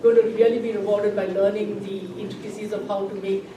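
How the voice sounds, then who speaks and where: A woman's voice: medium pitch, flat delivery, fast talker. A woman hosting a community event for an environmental group, introducing speakers with many fillers in a library room. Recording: very echoey room, far from the mic, noisy.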